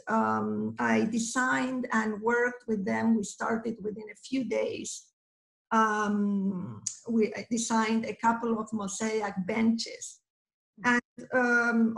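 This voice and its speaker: A woman in her fifties with an Argentine accent and native Spanish, talking informally as a guest in a measured, halting way, searching for words. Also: on the high side, vocal fry. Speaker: guest, mosaic artist. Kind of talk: informal interview talk. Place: small indoor studio room. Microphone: computer mic on video call. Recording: roomy video-call sound.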